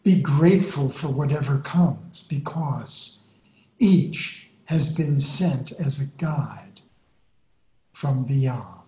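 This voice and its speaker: A man speaking in a measured, reverent way with long pauses, reciting poetry and welcoming listeners to a guided meditation. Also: medium pitch, darkish tone, reverberant.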